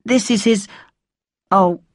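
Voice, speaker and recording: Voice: medium pitch, slow, dry recording, studio quality, voice pushed up, lightly acted. A narrator voicing an earnest, awkward teenage schoolboy in an audiobook story, hesitant and mumbling.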